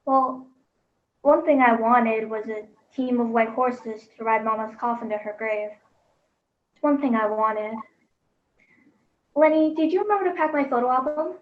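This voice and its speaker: A woman voicing a sentimental young sister in a play reading, soft and lilting, on a muffled recording. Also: a little high, normal speed, long pauses, natural voice. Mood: wistful.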